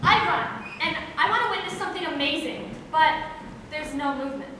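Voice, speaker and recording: Woman acting a high-voiced restless dreamer, echoey hall. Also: animated, fairly quick, pushed and bright. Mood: yearning, excited. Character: restless, sleepless young dreamer, anxious and yearning. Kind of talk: theatrical stage monologue. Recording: echoey hall from far off, faint noise.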